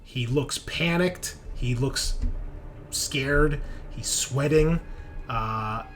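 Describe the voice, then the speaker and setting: A man narrating a tabletop horror game as game master, improvising in halting, suspenseful phrases. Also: medium pitch, a bit bright, faint music behind.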